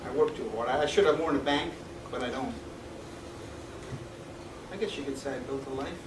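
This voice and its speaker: Weary middle-aged man played by a male actor onstage, halting and regretful, echoey theater, far from the mic. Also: medium pitch, noisy background, natural voice. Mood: resigned. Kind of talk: live stage play acting. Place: small theatre stage, indoors. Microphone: far camera.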